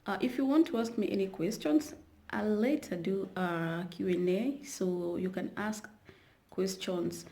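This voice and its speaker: A woman's voice: mid-range voice, a little slow, dark tone. A woman from Kenya chatting casually to her viewers in a rambling vlog, with many fillers and restarts. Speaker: YouTuber. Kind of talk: casual vlog talk to camera.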